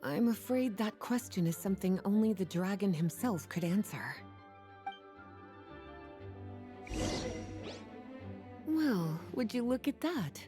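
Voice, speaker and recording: A woman voicing a poised, wry librarian in a video game who speaks in a languid, gently teasing way. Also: medium pitch, animated, moderate pace, lightly acted. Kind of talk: game voice acting. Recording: soft game music underneath.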